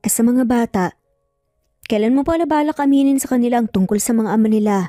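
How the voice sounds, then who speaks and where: A woman's voice: a little high, fast, mellow, no room sound, clean studio sound, faint music behind, close to an everyday voice. A woman voicing a concerned older sister who gives advice in an earnest, persuasive way in an audio story. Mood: concerned, pleading.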